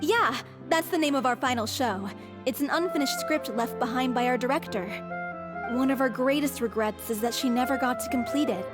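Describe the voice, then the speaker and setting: A woman's voice: high voice, brisk, close to an everyday voice. A woman voicing an earnest young theater troupe member in a game cutscene, explaining things over background music. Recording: game soundtrack music behind.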